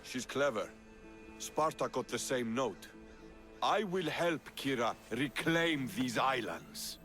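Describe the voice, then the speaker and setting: An actor playing a proud, composed Spartan commander in a video game, measured and confident. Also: male voice, mid-range voice, lively intonation, a bit bright, soft background music. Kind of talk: video game cutscene acting.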